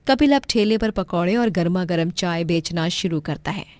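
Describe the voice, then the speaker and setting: A woman's voice: on the low side, lively intonation, normal speed, a little mellow, no room sound. A woman narrating a scripted moral story as a storyteller.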